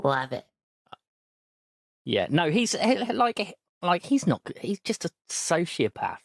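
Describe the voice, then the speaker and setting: A man talking emphatically in a sweary podcast chat. His pitch swings up and down a lot, and the recording is dry and close with no room sound. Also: big pitch swings, takes it slowly, vocal fry, no echo. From Britain. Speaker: podcast co-host. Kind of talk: casual chat. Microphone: new microphone.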